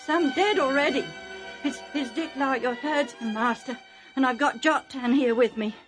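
Woman acting indignant rustic herdsman, pitched high. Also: moderate pace, faint music behind, natural voice. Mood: urgent, complaining. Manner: rustic uneducated speech, emphatic and breathless pleading. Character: rough, indignant, pleading male herdsman servant.

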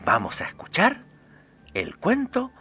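A man's voice: high-pitched, wildly swooping pitch, unhurried, over music, heavily acted. A man doing a sing-song diva princess. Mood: camp chatty. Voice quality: sing-song, adds "-ingui" to words. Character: flamboyant princess of color, female, diva. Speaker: guest character in a radio show. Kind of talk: comedic character bit.

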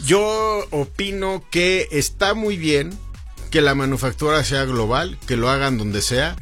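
A man's voice: fairly high pitch, measured pace, fairly bright tone, soft background music. A man, an automotive commentator co-hosting a car talk show, laying out his arguments with examples. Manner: explanatory, lays out arguments with examples.

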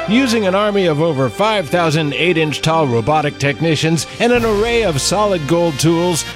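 A man reading scripted radio commercials in a smooth, polished way. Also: fairly high pitch. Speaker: commercial announcer. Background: music bed.

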